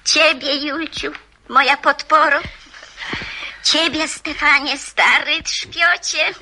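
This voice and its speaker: A woman's voice: sits high, fairly even intonation, pushed and bright, slight background noise, exaggerated voice, poor audio. An actress playing a loving old grandmother in a radio drama, speaking tenderly and solemnly, as on an old phonograph. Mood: solemn tenderness. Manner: slow, deliberate, tender.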